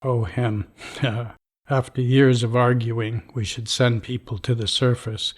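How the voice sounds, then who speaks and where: A middle-aged vault overseer in an audio drama, dry and dismissive, clinging to the rules. His voice sits at an ordinary pitch, and he hands down orders in a flat, bureaucratic manner. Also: takes it slowly, husky. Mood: stern. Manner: dry, rule-bound, dismissive.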